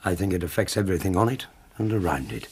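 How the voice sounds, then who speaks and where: Gentleman doctor in a radio play, low husky voice, measured, curious, polite. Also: animated. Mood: appreciative. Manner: courteous, inquiring. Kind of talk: radio drama acting.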